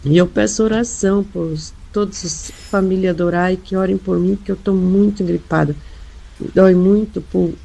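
Hoarse Brazilian woman with a cold. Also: mid-range voice, fairly even intonation, moderate pace, dark tone, poor audio. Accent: Brazilian Portuguese. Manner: earnest, pleading. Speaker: caller. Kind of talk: call-in prayer request.

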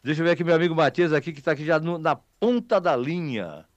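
A man's voice: a little high, expressive, fast, dry recording, muffled recording. A man with a Bahian Brazilian accent hosting local radio, chatting casually with listeners in a folksy, rambling, teasing way. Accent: Bahian Brazilian Portuguese.

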